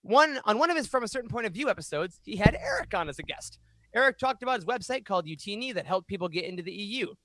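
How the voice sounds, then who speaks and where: A man in his thirties hosting a fan podcast, speaking in an animated, emphatic way into a close microphone. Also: high voice, fast. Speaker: podcast host. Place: small home room, indoors. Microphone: close studio microphone on boom arm.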